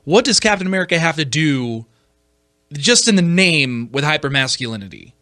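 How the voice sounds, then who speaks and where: Bright, higher-voiced man arguing his point. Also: very animated, normal speed, no room sound, studio quality. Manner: emphatic, argumentative, rhetorical questions. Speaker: podcast host. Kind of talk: opinion commentary on films.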